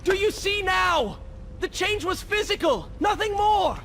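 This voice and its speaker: High, intense young warrior prince, acted by a man. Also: animated, moderate pace, slight background noise, close to an everyday voice. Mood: pleading. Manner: intense, grave, theatrical formal phrasing. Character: brooding, vengeful young warrior prince, driven and impatient. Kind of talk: dramatic video game voice acting. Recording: loud game music over the voice.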